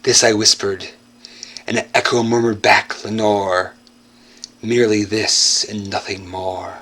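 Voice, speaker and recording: A young man giving a theatrical, brooding recitation of gothic horror poetry, moving between hushed and loud delivery. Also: lowish voice, steady tone, unhurried. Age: young adult. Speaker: performer reciting a poem. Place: furnished basement living room.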